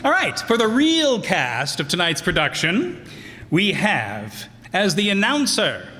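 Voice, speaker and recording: A man's voice: sits high, huge pitch swings, slow pace. A man hosting a live show, casually and appreciatively reading out cast credits and thanks. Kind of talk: casual live curtain-call announcements. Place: town hall, live before an audience. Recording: echoey hall, loud crowd and noise. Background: loud crowd.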